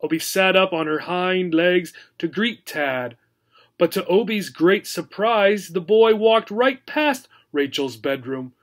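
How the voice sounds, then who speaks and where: A man's voice: high-pitched, animated, slow pace. A man teaching as he reads a children's story aloud, telling it expressively and simply for learners.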